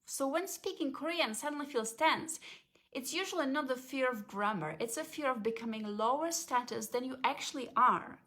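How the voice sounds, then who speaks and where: A woman speaking as a language confidence coach, giving a calm, measured, scripted explainer. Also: high voice, soft background music.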